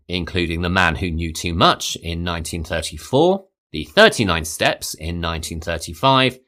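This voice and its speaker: A man hosting a podcast, reading a scripted film history in a measured, storytelling way. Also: deep voice, fairly bright tone. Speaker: podcast host. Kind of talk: scripted narration.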